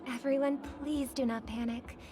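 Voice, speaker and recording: An actress voicing a gracious young female idol in a video game, speaking in a polite, measured way over music. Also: high voice, steady tone, natural voice. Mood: gracious, serene. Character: gracious young female pop idol, secretly a weary impostor. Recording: game music playing behind.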